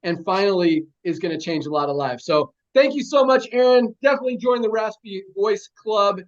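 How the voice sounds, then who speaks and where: An emphatic, upbeat man hosting a team video call. His voice is notably high, and the call audio sounds muffled and lo-fi. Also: fairly quick. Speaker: call host.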